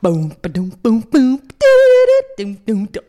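A woman's voice: on the high side, huge pitch swings, a little slow, warm tone. A woman co-hosting a casual podcast, teasing her partner and humming TV theme tunes with lots of giggles. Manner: playful, giggly, bossy teasing. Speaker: podcast co-host.

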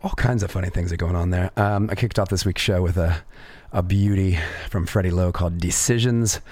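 A man with a raspy voice hosting a live radio music show, talking in an easygoing way with plenty of fillers. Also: low voice, brisk, a little mellow, dry recording. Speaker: radio DJ host. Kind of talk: casual live radio presenting.

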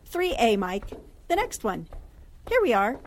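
A woman's voice: fairly high pitch, big pitch swings, unhurried, a little hiss or hum. A woman playing a polite, good-natured newspaperwoman with a genteel manner, in 1940s radio drama style. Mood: concerned.

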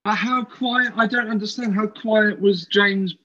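A man's voice: high voice, fairly even intonation, a little slow, sharp tone, highs cut off, slight background noise. A man chatting casually as a podcast guest, hesitant and repeating his phrases. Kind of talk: casual chat.

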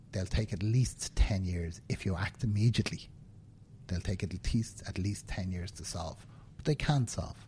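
A man's voice: sits low, warm tone, slight background noise. A man in his fifties with a Dublin Irish accent, speaking earnestly as an interview guest and often checking in with "right?". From Ireland. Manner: earnest, emphatic, tag questions like "right?". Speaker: interview guest, banking professional. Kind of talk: conversational interview. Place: indoors, seated conversation.

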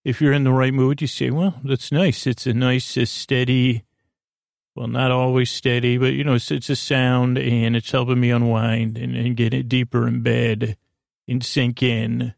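A man with a creaky, gravelly voice hosts a sleep podcast, gently and reassuringly rambling in a meandering, halting monologue that trails off mid-thought. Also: medium pitch, flat delivery, dry recording, clean studio sound. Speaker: podcast host.

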